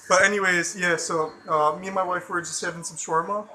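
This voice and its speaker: A man's voice: sits high, steady tone, normal speed, bright, forceful tone, a little hiss or hum. An American man from the Chicago suburbs vlogging in a loose, profane way, full of "like" and "you know". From Chicago suburbs, USA. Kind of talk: casual rambling vlog talk.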